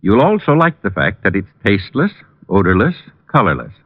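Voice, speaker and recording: Male commercial announcer, lowish and lively, scripted vintage radio pitch, dry but muffled broadcast sound. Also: brisk, no room sound.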